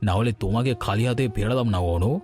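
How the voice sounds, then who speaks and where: A man's voice: mid-range voice, fast, a little mellow, soft background music, close to an everyday voice. A man playing a poor village fisherman in a cartoon story, apologetic and keen to justify himself. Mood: apologetic, sympathetic.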